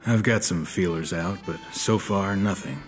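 An actor playing a world-weary, tough private detective in a noir audio drama, laconic and hard-boiled. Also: male voice, low voice, normal speed, lightly acted. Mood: calm, gentle. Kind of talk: noir radio-drama narration and dialogue. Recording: studio production with music underneath. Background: music.

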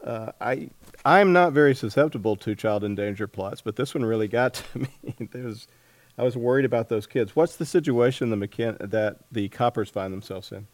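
A man hosting a casual interview, speaking haltingly as he thinks aloud. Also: medium pitch, no room sound. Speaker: interviewer, host.

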